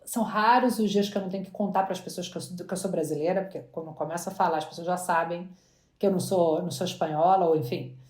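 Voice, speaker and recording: A woman's voice: on the low side, steady tone, fast talker, darkish tone. Chatty woman in a roomy space. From Brazil. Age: 40s. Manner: chatty, restarts words often. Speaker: guest entrepreneur. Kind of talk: casual interview chat. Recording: roomy echo.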